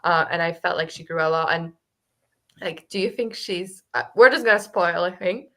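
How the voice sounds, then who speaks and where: A woman's voice: lowish voice, normal speed. A young woman co-hosting a casual book club discussion, chatting animatedly and filling her talk with "like". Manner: chatty, heavy use of "like", animated.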